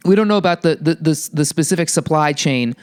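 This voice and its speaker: A man's voice: quick pace, clear, resonant tone. A young man hosting a casual health podcast, talking in a sarcastic, profane ramble full of fillers and restarts. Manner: puts on silly high voices for quoted people. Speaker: podcast host.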